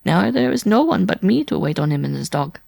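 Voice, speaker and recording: Guy doing a scared desperate wife in an audiobook, pitched way up, very creaky, pleading and breathless. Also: big pitch swings, fairly quick, a little mellow, no room sound, full character voice. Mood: tearful, aggrieved. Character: desperate frightened wife, worn-out former circus performer. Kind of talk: audiobook character acting.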